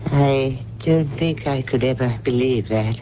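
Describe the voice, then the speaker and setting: An actor playing a devoted, deferential male helper to an ailing lady in a 1970s radio drama, gentle, polite and attentive. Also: mid-range voice, unhurried, natural voice. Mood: grave. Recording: muffled, noisy old radio broadcast.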